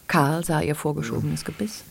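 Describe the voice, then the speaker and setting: A man's voice: a little high, flat delivery, moderate pace, vocal fry, a little hiss or hum. A man giving scripted literary narration in a radio play.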